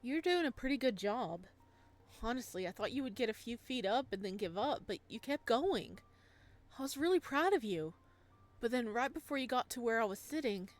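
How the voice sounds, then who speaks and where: A woman's voice: fairly high pitch, lightly acted. A woman voicing a tender, guilt-ridden young man in a visual novel, speaking in an earnest, hesitant way. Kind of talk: visual novel dialogue read aloud.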